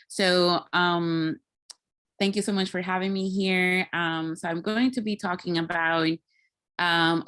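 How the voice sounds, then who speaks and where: A woman giving an informal online presentation as a guest speaker, thoughtful and halting, with many small filler phrases. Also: on the low side, fairly bright tone. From Puerto Rico. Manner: halting, thoughtful, peppered with "like" and "you know". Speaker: guest speaker, climate scientist. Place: video call.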